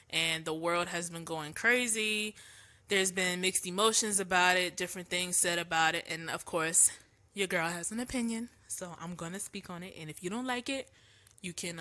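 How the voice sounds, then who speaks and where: A woman with a lowish, bright, forward voice, a little stuffy from allergies. She talks in a loose, slangy, opinionated way, with plenty of ums as she goes. Recorded at home for her podcast. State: congested from allergies. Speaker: podcast host. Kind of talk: casual opinion monologue.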